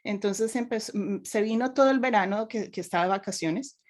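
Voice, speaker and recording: A woman's voice: mid-range voice, fairly quick. A woman raised in Colombia, a youth minister, giving earnest advice and telling personal stories in a panel discussion.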